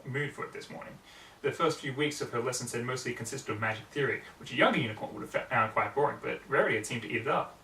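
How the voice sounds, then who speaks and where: A man's voice: medium pitch, bright, forceful tone. A man reading scripted fiction aloud as an amateur audiobook narrator. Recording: roomy, distant mic, faint background noise.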